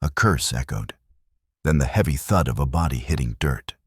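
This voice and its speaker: A man's voice: very deep voice, expressive, normal speed, breathy edge, no echo, studio quality. A man narrating a dramatic Western tale as a scripted storyteller.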